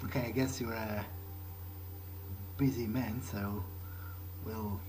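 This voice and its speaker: A man's voice: lowish voice, fairly even intonation, slow, darkish tone, a little hiss or hum. Hesitant man playing a game in character. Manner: hesitant, musing to himself. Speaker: gaming video maker. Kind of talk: in-character game playthrough commentary. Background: video game ambience.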